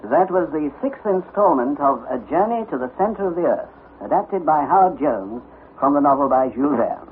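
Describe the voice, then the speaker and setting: A man's voice: fairly high pitch, animated, normal speed. A man reading a formal scripted announcement as a radio announcer. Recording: tinny, noisy vintage radio recording.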